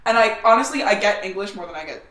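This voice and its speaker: A woman's voice: mid-range voice, lively intonation, quick pace, a bit bright, distant mic. A young woman from Scotland co-hosting a podcast, chatting bluntly and swearing freely. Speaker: podcast co-host. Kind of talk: casual chat. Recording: echoey room, off-mic, faint background noise.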